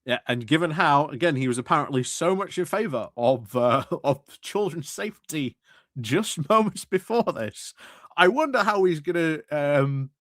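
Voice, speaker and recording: A man giving casual reaction commentary, speaking in a wry, halting way. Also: a little high, lively intonation, fairly bright tone, no room sound, clean studio sound. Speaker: streamer commentator.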